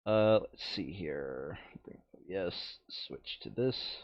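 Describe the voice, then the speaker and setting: A man's voice: low voice, expressive, extremely slow, no echo, muffled recording. A man playing a board game, casually narrating the steps and muttering asides to himself as he works through them. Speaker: tabletop game player and narrator.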